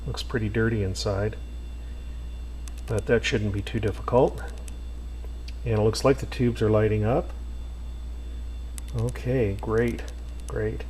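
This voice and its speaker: A man restoring an old radio, talking casually to himself with lots of pauses and fillers. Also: on the low side, slight background noise. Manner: relaxed, thinking aloud with many fillers. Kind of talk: casual workshop narration.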